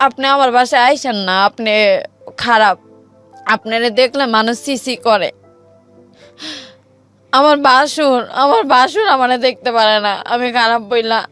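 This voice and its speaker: Bangladeshi woman, high-pitched, emotional first-person storyteller in everyday colloquial speech, light music underneath. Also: fairly bright tone. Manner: plain colloquial speech, reenacting quoted exchanges. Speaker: guest telling her life story.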